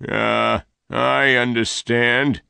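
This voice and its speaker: A man's voice: mid-range voice, little pitch movement, creaky, pushed and bright, smooth, no room sound, pitched above the performer's own voice, exaggerated voice. A man voicing a fat, gray-haired older male leader who fires off demanding questions in a raised voice. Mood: sly. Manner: demanding, hectoring rapid questions.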